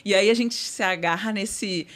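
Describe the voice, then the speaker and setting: A middle-aged woman, a guest speaking thoughtfully in an interview, often pausing and restarting with "né". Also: mid-range voice, a bit bright. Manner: thoughtful, many fillers and restarts, says "né". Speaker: guest executive. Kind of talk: conversational interview.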